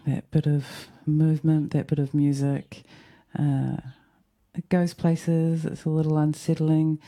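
A New host speaks alone on air in a croaky, gravelly voice that sits very dark and mellow. The delivery is flat and unhurried, meandering and thoughtful, with a soft-spoken, reflective manner. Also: medium pitch. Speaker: radio host. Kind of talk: casual reflective solo talk.

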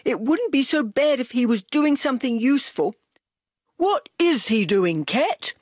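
Woman playing an excitable, loud storybook dog. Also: high voice, measured pace, no room sound, studio quality, voice pushed up. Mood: grumbling, puzzled. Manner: eager, exclamatory, repeats words. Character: excitable, impatient, naive male pet dog. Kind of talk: children's storybook character voice.

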